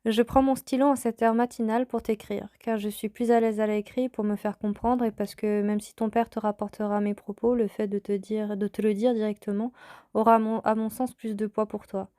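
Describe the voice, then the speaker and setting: Woman in her thirties, podcast host, calm and reflective, even monotone delivery, dry close sound. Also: medium pitch, moderate pace, dry recording. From France. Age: 30s. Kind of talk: personal storytelling podcast.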